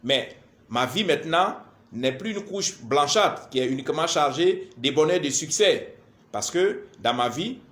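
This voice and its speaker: A man speaks in a fairly high voice. He delivers a spiritual lecture in a deliberate, instructive way, building it from short phrases and repetitions. The room around his voice is clearly echoey. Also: fairly bright tone. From Ivory Coast. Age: 40s. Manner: deliberate, didactic, repetitive, halting phrases with frequent verbal tags. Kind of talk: spoken lecture to camera.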